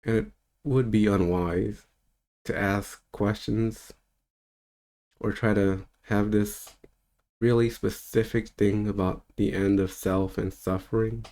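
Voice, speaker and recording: Man, 30s, very low voice, casual spiritual-teacher monologue, meandering and relaxed. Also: slow. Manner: loose and rambling, with easy laughs.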